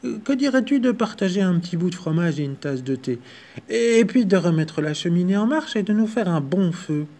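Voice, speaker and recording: A man's voice: high-pitched, lively intonation, brisk, dark tone, pitched above the performer's own voice. A man voicing a tiny, mischievous female mouse who stammers apologies. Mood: apologetic.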